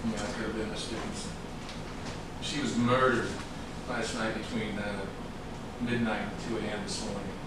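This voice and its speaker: An actor in a staged crime drama reading, playing a pushy, sardonic police detective who questions people bluntly. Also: male voice, lowish voice, little pitch movement, moderate pace, long pauses, natural voice. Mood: businesslike, probing. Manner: blunt, interrogating. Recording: very echoey, distant, noisy stage recording.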